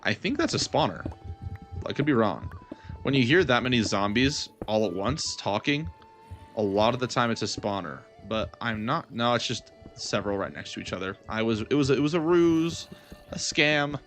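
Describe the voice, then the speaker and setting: A man's voice: medium pitch, expressive, normal speed, fairly bright tone, faint music behind. A young man chatting casually as he hosts a gaming livestream, rambling and thinking aloud as he answers his viewers. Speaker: livestream gamer host.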